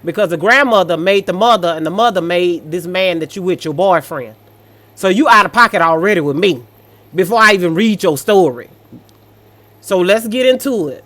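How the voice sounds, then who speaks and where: A mid-pitched American voice reads stories aloud and comments on them off the cuff. The delivery is sassy and blunt, with colloquial asides in a scolding manner. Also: expressive, normal speed, a bit bright. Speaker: host reading and reacting to online stories.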